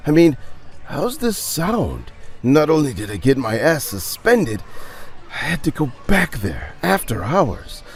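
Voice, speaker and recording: Man acting a dejected scientist with restless, swinging pitch. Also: wildly swooping pitch, slow pace, heavily acted. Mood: self-reproachful. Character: dejected, self-blaming male research scientist confessing to a friend.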